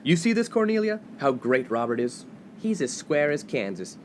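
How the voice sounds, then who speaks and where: Man playing swaggering, animated 1950s college cad. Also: fairly high pitch, normal speed, a little hiss or hum. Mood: smug, breezy. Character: brash, smug male college freshman, privileged 1950s cad. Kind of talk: comedic 1950s radio play acting.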